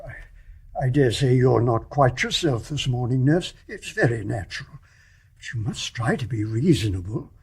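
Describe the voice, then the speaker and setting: An actor playing a courteous, cautious English major in a period radio drama, hesitant and politely measured, with slight stammers. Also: male voice, medium pitch, lively intonation, a little mellow, slightly rough. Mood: shocked, placating. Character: courteous, cautious retired-officer gentleman, gently reasoning family friend. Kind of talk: 1920s stage play as radio drama.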